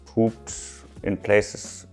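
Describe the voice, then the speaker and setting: A man's voice: drawn-out words, darkish tone, soft background music. A man from Germany with a German accent, chatting casually as an interviewee. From Düsseldorf, Germany. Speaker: interviewee, cat owner. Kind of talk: casual chat.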